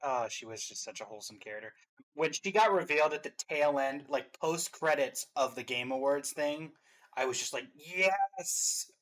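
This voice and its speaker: A man's voice: mid-range voice, brisk, a bit bright. A man giving casual, playful and enthusiastic commentary as a reaction show host.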